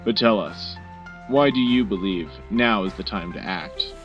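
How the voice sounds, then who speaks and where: A man playing a measured, serious host moderating a scripted wartime radio debate. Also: lowish voice, natural voice. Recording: dull lo-fi radio sound with music bed.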